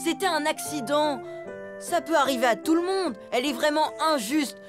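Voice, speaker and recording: A woman's voice: high voice, moderate pace, lightly acted. A woman voicing a cheeky, restless young elephant schoolboy in a children's cartoon, with a clownish, childlike and sulky manner. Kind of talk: French children's cartoon dialogue. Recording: music underneath.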